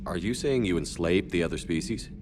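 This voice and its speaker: Deep, steady military commander. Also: male voice, brisk. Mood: direct, questioning. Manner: direct, composed, questioning. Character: steady, direct human military commander, diplomatic hero. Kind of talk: video game dialogue acting. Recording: music behind the voice.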